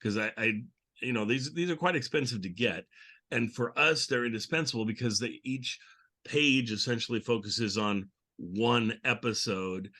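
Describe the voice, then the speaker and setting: A man's voice: mid-range voice, moderate pace, pushed and bright. A man hosting a podcast, chatting casually and explaining things in an informal way. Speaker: podcast host. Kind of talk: casual chat introducing a show episode.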